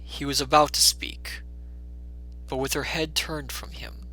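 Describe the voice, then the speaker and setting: A man's voice: mid-range voice, flat delivery, unhurried, bright, forceful tone, poor audio. A man reading a classic story aloud as a storyteller, in theatrical, scripted dramatic narration.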